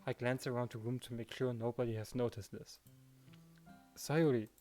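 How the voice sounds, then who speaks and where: Guy doing earnest teen boy protagonist narrating a visual novel, normal pitch, music behind him. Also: steady tone, normal speed, dark tone, close to an everyday voice. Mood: earnest, concerned.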